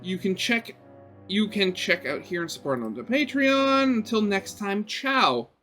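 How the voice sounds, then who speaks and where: High-voiced man reading a game aloud. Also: expressive, a little slow, sharp tone, soft background music. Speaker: gaming video host. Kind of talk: reading a visual novel aloud with casual commentary.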